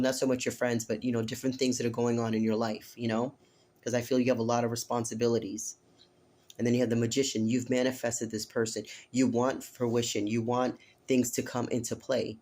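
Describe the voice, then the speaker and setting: Hoarse man giving a flat-toned tarot reading. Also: mid-range voice. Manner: earnest, repetitive, addresses listener directly with "okay?". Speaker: tarot reader. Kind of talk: casual spoken tarot reading.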